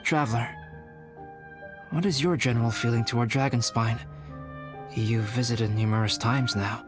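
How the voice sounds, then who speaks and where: Man voicing a composed scholarly young alchemist, mid-pitched. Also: natural voice. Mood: composed, reflective. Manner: measured, formal, articulate, calm. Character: composed, scholarly young-looking male alchemist, reserved and earnest. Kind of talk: video game character acting. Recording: music under the voice.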